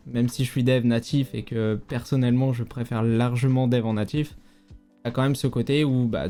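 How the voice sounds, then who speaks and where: Man, guest developer, casual interview talk, mellow dark tone, hesitant fillers, faint background music. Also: mid-range voice. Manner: relaxed, informal, many fillers. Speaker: native mobile app developer, guest.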